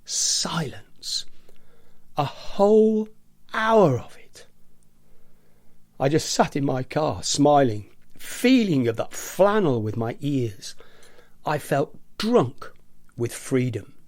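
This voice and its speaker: An agitated, eccentric office rebel, played by a man in a radio play, with a slightly high voice. He savours each word theatrically and mimics naggers, and the sound is dry and close. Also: very animated, takes it slowly, long pauses, dry recording. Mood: dreamy, savouring. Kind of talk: radio play monologue reading.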